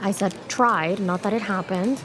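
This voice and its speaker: A woman's voice: medium pitch, moderate pace, natural voice. A woman playing a sassy, stubborn young student who bickers in exasperation in an audio drama. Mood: exasperated, sassy. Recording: clear background noise.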